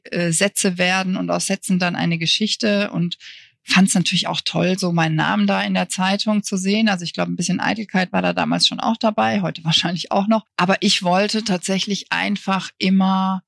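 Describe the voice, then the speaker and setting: Gravelly-voiced woman, thoughtful journalist guest. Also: lowish voice, dry recording, studio quality. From Bavaria, Germany. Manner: thoughtful, frank, hedges with "ich glaube". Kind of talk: reflective interview answers.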